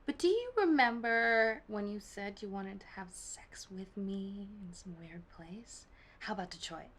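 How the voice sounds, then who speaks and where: A woman's voice: mid-range voice, lively intonation, moderate pace, fairly bright tone, a little hiss or hum, natural voice. An actress playing a self-assured corporate businesswoman who talks in a clipped, decisive manner in an acted game scene. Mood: cool, dismissive.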